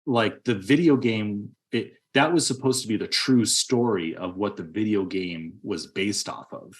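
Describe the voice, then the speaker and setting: Low-voiced man talking movies. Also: unhurried. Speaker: podcast co-host. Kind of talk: casual movie discussion.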